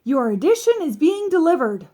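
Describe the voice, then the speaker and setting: A woman's voice: high-pitched, very animated, moderate pace, dark tone. A woman voicing a cheerful, earnest, literal-minded housekeeper and babysitter from a children's storybook.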